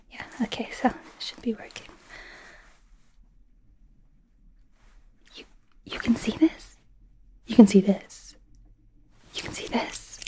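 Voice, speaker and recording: A young woman voicing an awkward, flustered female werewolf student in a soft, whispery ASMR roleplay, stammering and rambling nervously. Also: fairly high pitch, a little slow, long pauses, close to an everyday voice. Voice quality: soft, breathy, often whispery. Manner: nervous, stammering, rambling, indignant when embarrassed.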